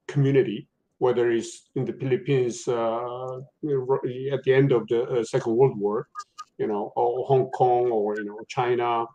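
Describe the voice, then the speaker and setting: Halting man pondering aloud on a panel. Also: medium pitch, darkish tone, rough recording. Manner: halting, thinking aloud with many fillers. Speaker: panelist, university professor. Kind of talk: panel discussion, academic.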